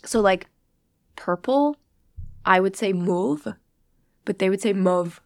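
A woman's voice: medium pitch, lively intonation, slow pace, darkish tone, dry recording, clean studio sound. A young woman from the USA, a guest chatting casually and filling her talk with "like". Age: young adult. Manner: chatty, many "like" fillers. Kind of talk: casual conversation.

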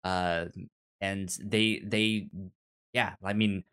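A man co-hosting a podcast, casually discussing a film in a halting way, often repeating and restarting his words. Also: low voice, fairly even intonation, a bit bright, clear, resonant tone. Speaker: podcast co-host reviewing a film.

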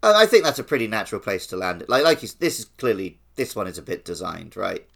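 Male podcast co-host, slightly low voice, informal and conversational, discussing video games casually. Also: sing-song, quick pace, gravelly, a bit bright.

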